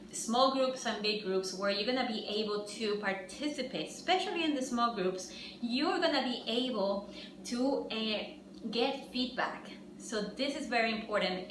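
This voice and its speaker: A woman's voice: medium pitch, sharp tone. A middle-aged woman from Ecuador, leading a fitness instructor training workshop in an upbeat, encouraging way and speaking directly to the group. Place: room with trainees. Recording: echoey room, mic far off, noisy.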